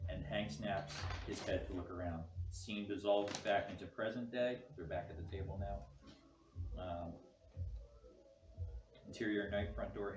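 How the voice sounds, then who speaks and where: A man reading stage directions as scripted narration at a table read. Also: fairly low pitch. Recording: echoey room, distant mic, clear noise.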